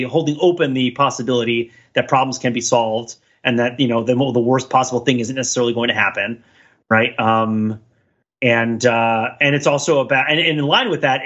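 A man's voice: mid-range voice, fairly bright tone, breathy edge. A man co-hosting a podcast, chatting casually and analytically, thinking aloud in long, qualified sentences. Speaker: podcast co-host. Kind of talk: casual analytical chat about television. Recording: dull, band-limited sound.

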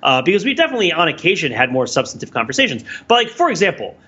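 Animated, bright-voiced man with a husky edge. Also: a little high, very fast, muffled recording. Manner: discursive, thinking aloud. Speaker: podcast co-host. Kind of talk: casual analytical chat.

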